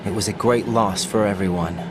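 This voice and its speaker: A man's voice: lowish voice, steady tone, fairly quick, noisy background, lightly acted. An actor playing an earnest young male rookie special-forces operative in a video game, asking many questions. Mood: tense. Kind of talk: video game cutscene voice acting. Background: game score music.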